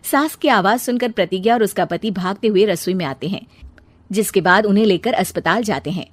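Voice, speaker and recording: A woman's voice: mid-range voice, a little fast, no room sound. A woman reading scripted story narration.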